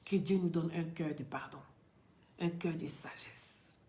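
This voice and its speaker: A woman's voice: low-pitched, steady tone, a little slow. Emphatic Ivorian woman lecturing. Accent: Ivorian French. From Ivory Coast. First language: Dioula or another Ivorian language. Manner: emphatic and preachy, loud rising peaks that drop to quiet near-whispered asides. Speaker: social media commentator. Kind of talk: impassioned direct-to-camera commentary.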